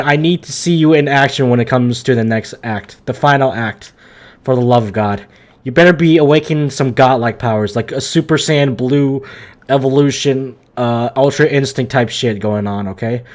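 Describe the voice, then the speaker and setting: Casual, slangy male game streamer. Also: mid-range voice. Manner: slangy, stumbling, repetitive, emphatic. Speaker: game livestreamer. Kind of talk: casual live game commentary.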